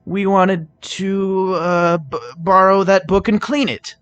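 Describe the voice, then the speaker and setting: A man's voice: sits high, steady tone, slow, a bit bright, clean tone, voice pushed up. A man voicing a timid, nervous male pony thief who talks hesitantly, in a storybook reading. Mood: nervous lying. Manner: hesitant, jittery.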